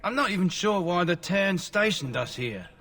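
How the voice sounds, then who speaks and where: An actor playing a sheepish castle guard in a fantasy video game, hesitant and deferential. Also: male voice, high voice, sing-song, normal speed, fairly bright tone, heavily acted.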